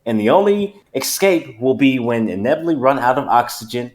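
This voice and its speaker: A man's voice: mid-range voice, lively intonation, fairly quick, darkish tone, voice pushed up, lightly acted. Man putting on a creepy drawling fatalist. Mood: cold, calm. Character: unflappable, creepy country man, coldly fatalistic.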